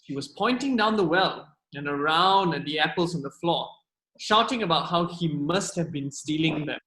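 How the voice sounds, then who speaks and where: A man's voice: fairly high pitch, expressive, normal speed, pushed and bright. An actor playing an indignant orchard owner telling the court what he saw. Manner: indignant, vivid storytelling. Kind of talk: amateur radio drama. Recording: echoey room.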